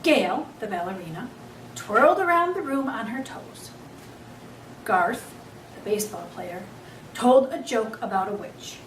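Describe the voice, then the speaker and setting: A woman's voice: on the high side, animated, measured pace, long pauses, far from the mic. Woman reading a children's story, roomy sound. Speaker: story reader. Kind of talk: children's book read aloud, expressive narration. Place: echoey room, away from the mic. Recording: roomy, off-mic, faint background noise.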